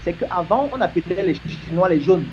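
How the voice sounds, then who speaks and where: A man's voice: high-pitched, animated, brisk, lo-fi sound, soft background music. A man making an earnest, emphatic argument in a discussion, building his points with examples.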